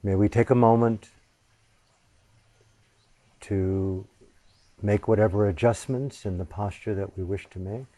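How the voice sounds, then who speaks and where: Male meditation teacher, low and warm, very slow and reverent, long pauses. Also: steady tone, no room sound. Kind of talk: guided meditation.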